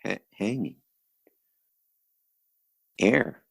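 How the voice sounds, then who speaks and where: A man playing a shock-struck, vacant man in a 1940s-style radio drama, with a husky, creaky voice that stammers and trails off. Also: fairly low pitch, expressive, drawn-out words, dry recording, studio quality, full character voice. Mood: dazed. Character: dazed, shock-struck man, mind gone blank.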